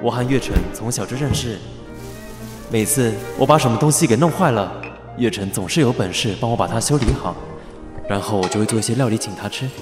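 A man's voice: mid-range voice, fairly quick, over music, natural voice. An actor playing an easygoing, affectionate young male pastry assistant in an audio drama, speaking with a Taiwanese accent. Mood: earnest, reflective. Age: young adult. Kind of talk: audio drama acting with narration.

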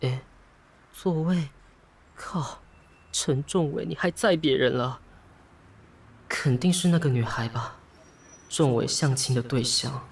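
A man's voice: mid-range voice, takes it slowly, long pauses, slight background noise. Wry, lovesick young Taiwanese man. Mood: bitter, sarcastic. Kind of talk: radio drama acting with inner monologue.

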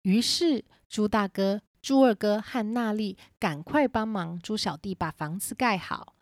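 A woman's voice: medium pitch, unhurried, no echo, studio quality. A woman narrating a scripted children's story.

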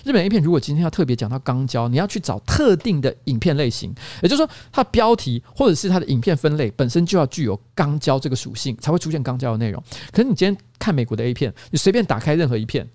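A man's voice: on the high side, quick pace, hardly any pauses, dry recording. A man in his forties with a Taiwanese accent hosting a podcast in a casual, jokey storytelling manner. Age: 40s. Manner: animated, jokey storytelling with frequent laughter. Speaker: podcast host. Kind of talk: casual comedic podcast chat.

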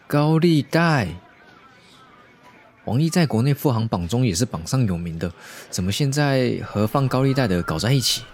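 A man playing a quick-witted, inquisitive junior police detective in a radio drama. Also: mid-range voice, lively intonation, darkish tone, lightly acted. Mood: curious, casual.

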